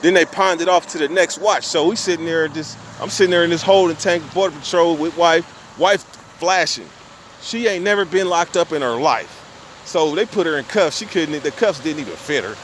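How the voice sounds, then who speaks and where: A man's voice: on the high side, moderate pace, slight background noise. A young man telling a long story to his viewers in casual street slang, cussing and restarting his sentences often. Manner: profane, rambling, street slang; restarts and repeats himself often.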